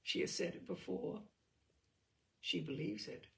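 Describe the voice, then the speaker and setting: A woman reading a short story as a weary, regretful working mother, in a halting, deliberate, intense way. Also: fairly bright tone, lightly acted. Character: weary, regretful working mother confiding about her daughter. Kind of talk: dramatic first-person short story reading.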